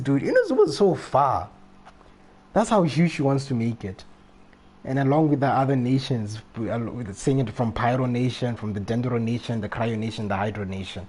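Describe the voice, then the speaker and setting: A man's voice: mid-range voice, a little mellow, slightly rough. A young man doing casual, slangy reaction commentary as he livestreams a video game. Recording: faint game music behind voice. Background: game music.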